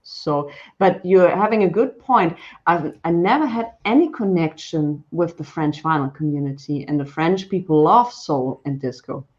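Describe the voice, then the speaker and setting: A woman's voice: low-pitched, normal speed, few pauses, darkish tone, highs cut off. A woman in her forties speaking as a panel guest on a video call, direct and self-assured. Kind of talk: casual panel chat.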